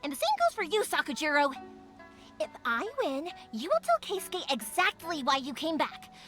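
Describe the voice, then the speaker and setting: A woman's voice: sits high, huge pitch swings, moderate pace, over music. A woman voicing a spirited, bold young woman who makes fireworks, in video game dialogue. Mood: determined. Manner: bold, bubbly, insistent.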